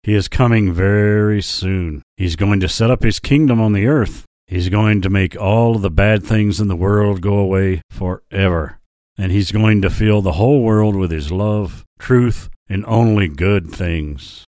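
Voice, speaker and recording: A man voicing a big, kindly male lion in a children's story, speaking in slow, earnest warnings. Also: lowish voice, a little mellow, no echo, studio quality, natural voice. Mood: gentle solemn. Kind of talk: storybook character voice.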